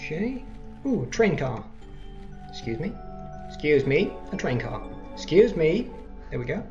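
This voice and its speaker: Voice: mid-range voice, very animated, a little slow, darkish tone, dull audio, faint music behind. A let's play host giving casual, rambling game commentary with self-deprecating asides.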